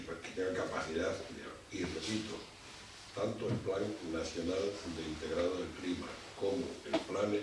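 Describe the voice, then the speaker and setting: Man, very low voice, halting lecturer who repeats himself, recorded from far off in a big echoey hall with noise. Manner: halting, repeats words while thinking, explanatory. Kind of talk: public lecture. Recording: distant mic in an echoey hall with background noise.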